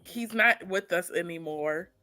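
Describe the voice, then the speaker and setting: A woman's voice: lowish voice, a little slow, pushed and bright, no echo. A woman co-hosting a casual podcast chat, playful and teasing, often restarting her sentences. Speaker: podcast co-host.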